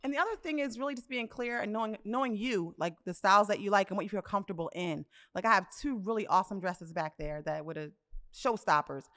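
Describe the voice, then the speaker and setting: A woman's voice: medium pitch, quick pace, fairly bright tone. A woman in her fifties co-hosting a podcast, chatting casually and animatedly, often laughing. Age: 50s. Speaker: podcast co-host. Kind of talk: casual chat. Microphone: studio mic.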